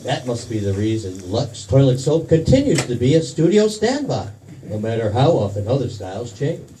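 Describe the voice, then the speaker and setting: An actor playing an affable, smooth radio announcer selling in a 1940s commercial style. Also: male voice, mid-range voice, big pitch swings, normal speed, natural voice. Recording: distant mic, faint room noise.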